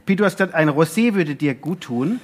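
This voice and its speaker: A man's voice: on the high side, animated, a little fast. A man co-hosting a talk show in a casual, bantering way.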